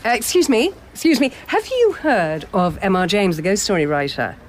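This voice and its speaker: An actress playing a brusque, sarcastic feminist documentary film-maker in a naturalistic radio drama. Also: female voice, mid-range voice, sing-song, moderate pace, background noise, close to an everyday voice. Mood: brisk, impatient. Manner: sarcastic, bossy.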